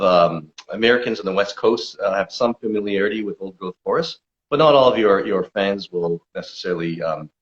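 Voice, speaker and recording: A man with a lowish voice, giving steady, informative answers as a forest conservation campaigner. His talk carries frequent "um"s and "uh"s, and the recording sounds dull and lo-fi. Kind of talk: explanatory interview answers.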